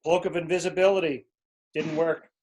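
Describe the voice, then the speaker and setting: A man's voice: fairly high pitch, little pitch movement, moderate pace, noisy background, natural voice. A man playing a demanding, fretful king in a storybook readers theater, complaining and insistent. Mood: petulant. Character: petulant, demanding king, fretful and easily enraged.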